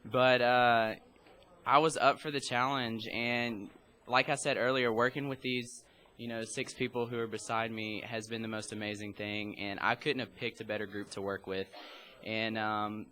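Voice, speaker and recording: A man's voice: fairly low pitch, level tone, moderate pace, bright, forceful tone. A young man, a student director, speaking earnestly and proudly about his cast. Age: young adult. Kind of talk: casual interview.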